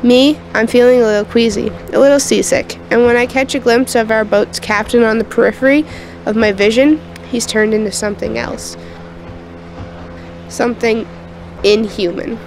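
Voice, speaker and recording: A woman's voice: a little high, fairly even intonation, moderate pace, darkish tone, faint music behind, natural voice, rough recording. A woman playing a teenage girl patient in a radio drama, confiding her eerie nightmares in a colloquial, youthful way. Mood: uneasy. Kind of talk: first-person radio drama narration and dialogue.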